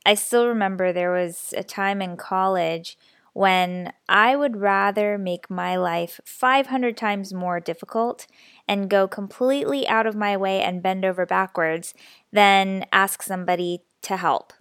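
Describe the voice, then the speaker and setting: A young adult woman hosting a personal storytelling podcast, a little raspy and stuffy from a cold, candid and chatty. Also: medium pitch, measured pace, a bit bright, no room sound, studio quality. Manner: candid, self-deprecating, chatty. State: slightly sick, stuffy nose. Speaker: podcast host.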